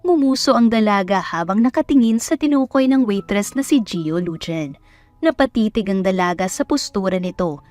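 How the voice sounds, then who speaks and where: A woman's voice: mid-range voice, lively intonation, normal speed, a little mellow, dry recording. A woman with a Filipino accent reading scripted romance story narration. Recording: dry voice over background music. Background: music.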